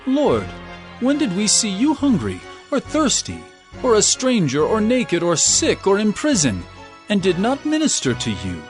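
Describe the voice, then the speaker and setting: A man's voice: sits high, wildly swooping pitch, a little slow, soft background music, voice pushed up, full character voice. A man voicing a humble, earnest servant who speaks in a deferential, pleading way in a scripture dramatization. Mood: puzzled, pleading. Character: humble, earnest male servants and questioning righteous.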